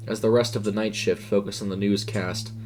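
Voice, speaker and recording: A man reading a scripted horror story in a measured, suspenseful way. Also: fairly low pitch, flat delivery, a little mellow. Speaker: audio fiction narrator. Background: music.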